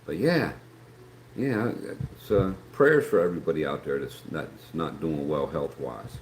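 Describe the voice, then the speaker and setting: A man's voice: extremely low pitch, lively intonation, a little slow. Folksy Appalachian man, echoey room. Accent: Appalachian American. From West Virginia, USA. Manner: rambling, folksy, reads chat aloud. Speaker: live stream host. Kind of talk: casual live stream chat. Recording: echoey room with faint background noise.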